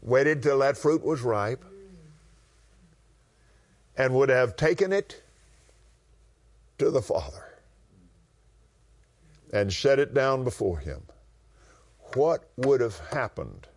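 A man from West Texas with a West Texas accent, teaching the Bible in a conversational preaching style with drawn-out, emphatic phrasing. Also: mid-range voice, animated.

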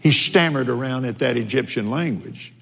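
A man teaching from the Bible in a relaxed, folksy way, slipping in chuckling asides between verses. His voice sits at an ordinary middle pitch. The sound rings out with the long reverb of a big church hall. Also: normal speed, vocal fry, slightly rough. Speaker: preacher.

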